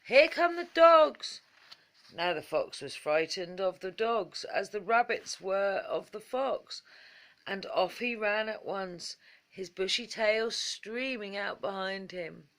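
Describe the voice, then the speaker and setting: Unhurried woman reading a bedtime story. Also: medium pitch. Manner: measured, animated storytelling. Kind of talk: expressive bedtime story narration.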